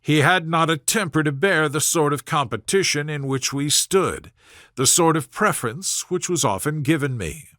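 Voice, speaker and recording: A man voicing an aggrieved young Regency gentleman in a storybook, smooth-talking and formal, with measured, wounded dignity. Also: fairly high pitch, animated, dry recording, clean studio sound. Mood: confiding, resentful. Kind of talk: storybook character voice in audiobook reading.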